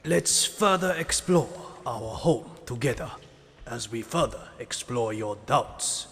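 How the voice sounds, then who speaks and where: An old rat sensei, acted by a man, speaks with solemn, formal care. The pace is very unhurried at a middle male pitch. Long reverb surrounds this dramatic fatherly figure. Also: animated, a bit bright. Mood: calm, fatherly. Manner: formal, measured, grave. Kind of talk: dramatic audio drama acting. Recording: long reverberant hall echo.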